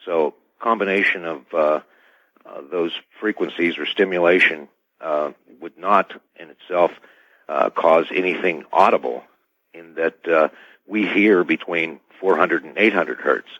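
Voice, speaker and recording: A man with a very deep voice explains technical matters as a radio guest. His wording is formal and cautious. The audio is dull and band-limited, with a dry sound free of room echo. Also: a little slow, a bit bright. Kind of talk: spoken technical explanation in an interview.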